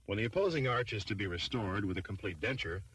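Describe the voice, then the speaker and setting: A man reading scripted training film narration in a formal, measured, instructional manner. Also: on the low side, lively intonation, a bit bright, breathy edge, rough recording.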